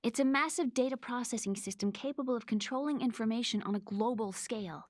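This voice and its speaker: A woman's voice: a little high, fairly quick, no echo, studio quality, close to an everyday voice. A woman playing a young computer programmer in a video game cutscene, bitter yet matter-of-fact, with precise delivery. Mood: matter-of-fact, explanatory. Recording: game music behind the voice.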